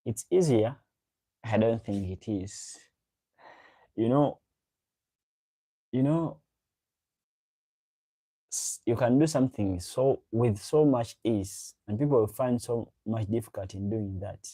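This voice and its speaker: A man's voice: lowish voice, takes it slowly, long pauses, mellow. A man hosting a livestream, chatting casually with viewers and reading out their comments, repeating himself as he goes. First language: a local African language. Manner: rambling, repeats himself, chats directly to viewers. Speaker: livestream host.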